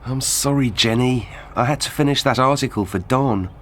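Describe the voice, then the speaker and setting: A man's voice: mid-range voice, moderate pace, fairly bright tone, a little hiss or hum, lightly acted. A man with a British accent, playing a young writer in a scripted language-course dialogue, easygoing and apologetic. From Britain. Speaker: magazine writer character.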